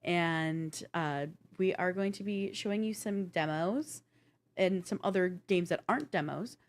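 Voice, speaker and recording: A woman's voice: fairly low pitch, measured pace. A woman co-hosting a streamed show about games, talking in a casual, chatty and informal way. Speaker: co-host and game streamer.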